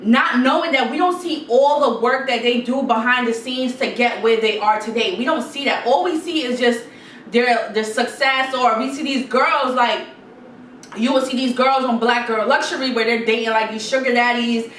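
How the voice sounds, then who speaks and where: A woman's voice: on the high side, normal speed. Chatty Guyanese woman vlogger, roomy sound. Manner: animated, chatty, repeats herself, reads quotes aloud. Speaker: YouTube vlogger. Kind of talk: casual sit-down advice chat. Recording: roomy echo, slightly distant mic, faint noise.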